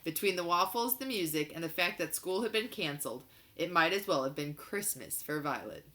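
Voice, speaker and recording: A woman narrates a children's novel in an expressive read-aloud style. Her voice is bright and forceful, sitting a little low for a woman. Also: fairly quick.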